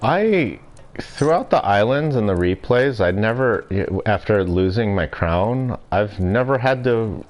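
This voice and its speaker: A young man streaming a game and chatting casually, with a stuffy nose and throat and a rambling, relaxed way of talking. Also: on the low side, unhurried. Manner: relaxed, rambling, self-deprecating. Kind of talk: casual gameplay commentary. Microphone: desk mic.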